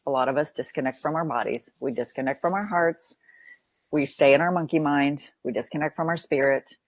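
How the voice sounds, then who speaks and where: Low-voiced earnest woman counselor. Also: a little fast, dry recording. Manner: earnest, repeats phrases while building a point. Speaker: counselor and podcast guest. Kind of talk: podcast interview.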